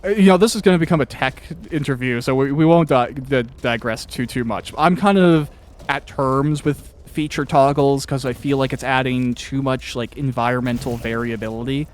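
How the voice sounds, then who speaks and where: A man's voice: mid-range voice, moderate pace. Casual, wordy male podcast host. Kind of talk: casual chat interview.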